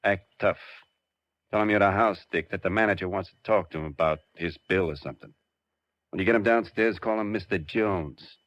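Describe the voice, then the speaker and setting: A curt, menacing schemer from an old radio crime play. His deep voice gives terse, conspiratorial orders. The sound is dry and muffled, like an aged broadcast. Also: a little fast, fairly bright tone, no room sound. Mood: sly, instructing. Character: tough, scheming male crook, curt and menacing. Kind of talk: old-time radio crime drama acting.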